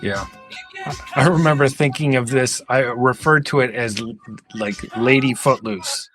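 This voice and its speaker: A man's voice: medium pitch, normal speed, a bit bright. A man on a podcast panel, chatting casually about pop music.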